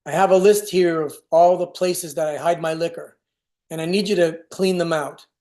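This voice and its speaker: A man playing a tired, evasive, ashamed alcoholic father in a staged play reading, speaking haltingly. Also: on the high side, fairly even intonation, mellow, lightly acted. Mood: confessing, earnest. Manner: hesitant, broken, halting phrasing.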